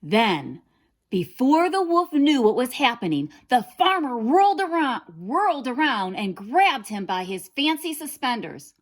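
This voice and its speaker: A woman narrating a children's story in a dramatic, deliberate, expressive way. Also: high voice. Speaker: storybook reader.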